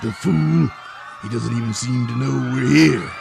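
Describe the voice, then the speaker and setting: A man voicing a scheming villain with a low, gravelly, gloating drawl, in a hobby audio drama. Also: unhurried, music in the background.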